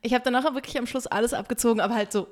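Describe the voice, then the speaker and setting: A woman's voice: a little high, racing. A woman chats casually as a podcast co-host. She tells her stories in a giggly, conversational way, slipping into little acted-out quotes. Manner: giggly, animated storyteller who acts out quotes. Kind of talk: casual podcast chat with personal storytelling.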